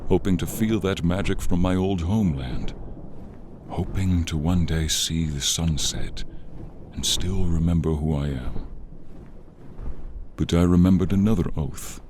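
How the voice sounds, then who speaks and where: A man voicing a weary ancient dragon, noble and gentle, with solemn, formal speech in a dramatic fantasy ASMR roleplay. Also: noisy background. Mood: confessional, wistful. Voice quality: deep voice. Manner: slow, solemn, formal, archaic phrasing. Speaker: voice actor and narrator.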